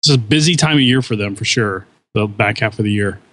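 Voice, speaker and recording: A man's voice: lowish voice, a little fast, fairly bright tone, no room sound. A man, a podcast guest, explaining game mechanics in detail in casual podcast chat.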